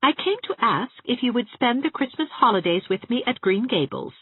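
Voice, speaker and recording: A woman voicing a warm, outspoken young woman with an earnest, gushing way of talking, in an audiobook. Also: on the high side, lively intonation, normal speed, husky, no room sound, phone-quality sound, pitched above the performer's own voice. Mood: earnest, candid. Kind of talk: audiobook character acting.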